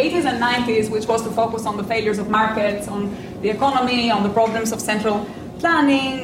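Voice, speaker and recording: A middle-aged woman from Albania, a native French speaker, delivers an academic lecture in a measured, reflective way. Her voice sits fairly high and now and then shows a dry, self-deprecating humor. The room around her rings audibly, with a faint hum of ambience underneath. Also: echoey room, far from the mic. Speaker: invited speaker.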